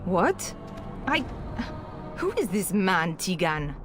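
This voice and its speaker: An actress playing a frightened noblewoman, pleading anxiously in halting, broken phrases, with clear music behind her. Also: lowish voice, very animated. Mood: desperate.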